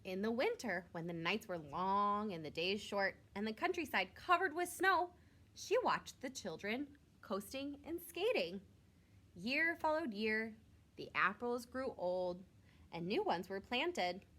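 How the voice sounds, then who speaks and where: A woman's voice: medium pitch, big pitch swings, measured pace, sharp tone. A woman reading a picture book aloud like a teacher to young children, expressively and with simple asides. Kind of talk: storybook read-aloud with short asides.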